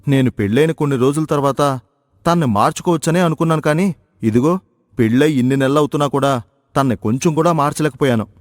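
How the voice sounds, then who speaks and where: A man's voice: on the high side, fast, no echo, clean studio sound, close to an everyday voice. Earnest young husband, voiced by a man, emphatic. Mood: troubled. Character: earnest young husband and protective elder brother.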